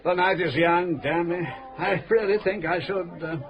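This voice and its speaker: An actor playing a tipsy, grandiose older English gentleman in a radio drama, effusive and florid, repeating himself. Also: high voice, steady tone, normal speed, a faint crowd. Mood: tipsy, jovial. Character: tipsy, grandiose, retired gentleman from London, genial and roguish. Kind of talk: British radio drama acting. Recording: radio play in noisy pub.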